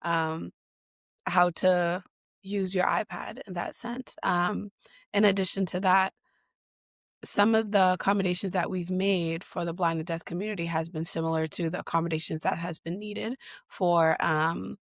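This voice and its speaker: Low-voiced woman with many fillers. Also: steady tone, moderate pace, dry recording, clean studio sound. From Boston. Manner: hesitant, many fillers and restarts. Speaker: guest, digital equity leader. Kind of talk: conversational interview answers.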